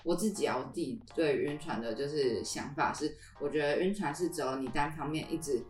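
A woman's voice: sits low, fairly quick, over music. A young adult woman co-hosting a casual podcast, explaining things in an agreeable back-and-forth way. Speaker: podcast co-host. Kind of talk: casual conversational podcast chat. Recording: roomy echo on the voice.

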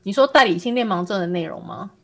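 A woman's voice: mid-range voice, animated, quick pace, faint music behind. A woman with a Taiwanese Mandarin accent, chatting casually as a podcast guest. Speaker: podcast guest, fiction writer. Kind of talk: casual chat.

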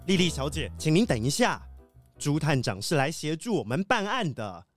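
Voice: medium pitch, sing-song, moderate pace, faint music behind. An actor playing an earnest wolfdog police chief in a children's audio drama, dutiful, formal and urgent.

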